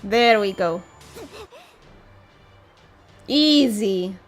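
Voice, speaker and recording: A woman's voice: mid-range voice, expressive, very slow. A woman streaming a game, casually commenting and muttering playful reactions to herself. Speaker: streamer reading a game story aloud. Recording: music behind the voice. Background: faint background music.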